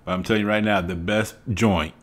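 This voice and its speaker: A middle-aged Southern man with a somewhat low voice talks into a studio mic in a small home room. His chat is casual and emphatic, full of asides and ready laughter. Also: steady tone, fairly quick. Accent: Southern American. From Southern United States. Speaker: reaction video host. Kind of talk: casual commentary. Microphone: studio mic on arm, wearing headphones.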